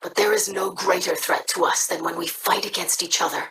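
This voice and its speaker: An actress playing an earnest, formal young alien scribe in a video game. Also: female voice, on the low side, fairly even intonation, a little fast, pushed and bright, distant mic, slight background noise.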